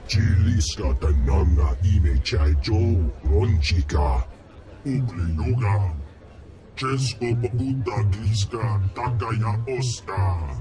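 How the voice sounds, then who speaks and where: An actor voicing a huge slug-like crime lord who speaks in deep, booming alien gibberish in a video game cutscene. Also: male voice. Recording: music behind.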